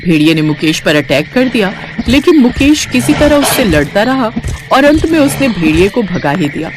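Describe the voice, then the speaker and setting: Expressive woman story narrator. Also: medium pitch, normal speed, noisy background. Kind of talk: scripted children's story narration.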